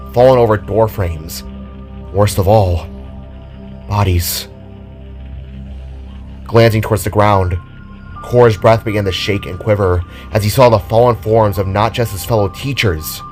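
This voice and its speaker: A man with a fairly low voice tells a dramatic story as its narrator. His delivery is solemn and theatrical, with music playing behind him.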